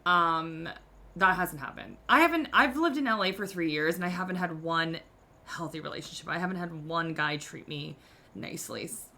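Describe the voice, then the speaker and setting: A woman's voice: on the low side, normal speed, pushed and bright. A woman in her thirties hosting a podcast, giving candid relationship advice in a casual, self-mocking way, full of "like". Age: 30s. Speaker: podcast host.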